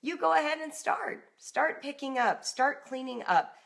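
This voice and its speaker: A woman's voice: high-pitched, measured pace, creaky, a bit bright. A middle-aged American woman coaching parents in an informal live talk, speaking directly and emphatically as if in conversation with her listeners. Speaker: parenting and homeschool coach, live broadcast host.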